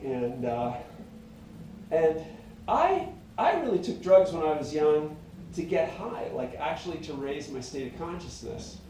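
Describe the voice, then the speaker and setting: A middle-aged singer-songwriter with a high tenor voice, talking in a meandering, reflective way full of fillers and restarts. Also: male voice, warm tone, slight background noise. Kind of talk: rambling casual monologue. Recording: very echoey room, mic at a distance.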